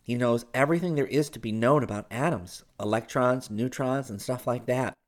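A bubbly young woman from an adventure audiobook, acted out by a male narrator in a lifted, higher voice. She is affectionate and takes charge, chattering along eagerly. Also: normal speed, lightly acted. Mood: proud, sociable. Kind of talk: audiobook character dialogue.

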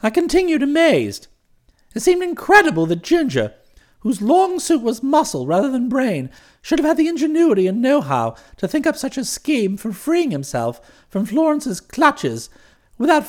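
A man playing a genial, excitable young upper-class English gentleman in an audiobook, breezy and chatty, with an upper-class British accent. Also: very high voice, heavily acted. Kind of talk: audiobook first-person narration and dialogue.

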